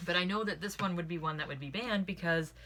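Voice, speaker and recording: A woman with a notably low voice talks through a book review casually. She is earnest and opinionated, and tends to wander off on tangents. A light layer of background noise sits behind her. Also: fast, pushed and bright. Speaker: book club host reviewing a book. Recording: clearly audible background noise.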